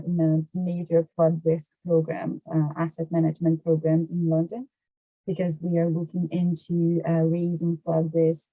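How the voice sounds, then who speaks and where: A woman's voice: lowish voice, no echo, phone-quality sound. A Brazilian woman with a Brazilian Portuguese accent, explaining her work as an interview guest, hesitating as she searches for words. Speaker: podcast guest, water resources engineer.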